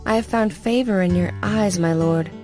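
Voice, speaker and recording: A woman's voice: mid-range voice, moderate pace, warm tone, faint music behind, close to an everyday voice. A woman playing a humble, grateful young widow in a dramatized scripture reading, speaking earnestly and with deference. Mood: humble gratitude. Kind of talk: dramatized scripture audiobook acting.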